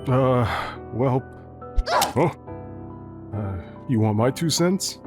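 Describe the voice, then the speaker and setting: Male actor as laid-back skeleton assistant, very varied intonation, wry, hesitant, casual, soft music behind, comic dub. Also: mid-range voice, expressive, extremely slow. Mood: wry, tentative. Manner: casual, hesitant, dryly joking.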